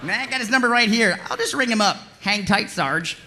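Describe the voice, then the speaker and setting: A very bright, sharp-voiced slacker dude. He is breezy and casual, drawling surfer slang as a comedy cartoon-style military operator, with lively swings in tone and faint noise behind him. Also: high-pitched, fairly quick, full character voice. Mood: laid-back, breezy. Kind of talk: comedy script table read.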